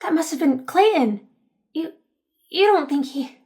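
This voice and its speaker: A woman's voice: high-pitched, animated, measured pace, a little mellow. A woman playing an anxious yet hopeful, loyal teenager in an audio drama, speaking earnestly and tentatively. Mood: worried.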